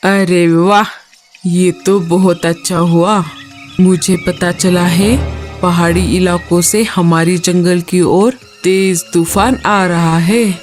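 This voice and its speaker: A woman's voice: on the low side, fairly even intonation, slow pace, darkish tone, soft background music, voice pushed down. A woman voicing a scheming, heartless old male crow with a sly, falsely friendly manner in an animal cartoon story. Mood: gloating.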